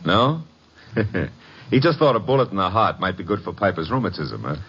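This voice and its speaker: A man's voice: lowish voice, very animated, moderate pace, fairly bright tone, slightly rough, heavily acted. An actor playing a breezy, flirtatious detective's legman, delivering slangy, sardonic lines with swagger in a radio mystery. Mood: wry, teasing. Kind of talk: 1950s radio detective acting. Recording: muffled, noisy vintage radio recording.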